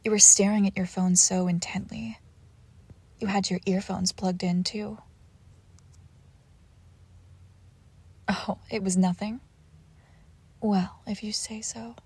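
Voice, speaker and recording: Breathy, teasing vampire girlfriend acted by a woman. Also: medium pitch, steady tone, darkish tone. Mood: teasing, haughty. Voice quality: breathy, often dropping into whispers. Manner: teasing, haughty, slow and deliberate. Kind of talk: intimate romantic audio roleplay, often whispered.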